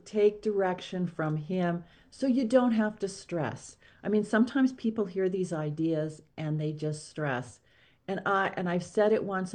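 Woman, talk show guest, informal devotional style, slow deliberate phrasing, animated intonation. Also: fairly low pitch. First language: not Latin or Spanish; English.

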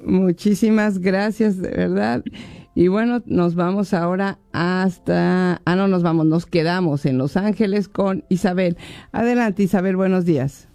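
A woman's voice: lowish voice, mellow, dry recording. Una locutora de radio presenta a los oyentes que llaman con tono cortés y ágil. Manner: courteous, brisk introductions. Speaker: radio co-host. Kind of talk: moderating a call-in radio show.

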